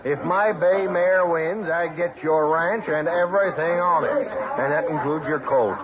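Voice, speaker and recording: A man's voice: fairly high pitch, unhurried, close to an everyday voice. A man playing a sneering, goading, hard-nosed gambler in an old Western radio drama. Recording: muffled old radio recording, crowd behind.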